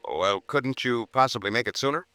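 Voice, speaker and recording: An actor playing a mild, kindly prison chaplain in 1950s radio drama, gentle and polite. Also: male voice, mid-range voice, brisk, close to an everyday voice. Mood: gentle, earnest. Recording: old radio recording with music.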